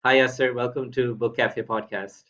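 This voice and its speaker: A man's voice: medium pitch, level tone, normal speed, fairly bright tone, lo-fi sound. A man hosting a book podcast, asking polite questions with many hesitant fillers. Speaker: podcast host. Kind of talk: book interview.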